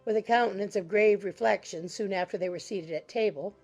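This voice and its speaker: A woman's voice: medium pitch, a little fast, a little mellow, lo-fi sound, soft background music. A woman giving scripted literary narration for a dramatized audiobook.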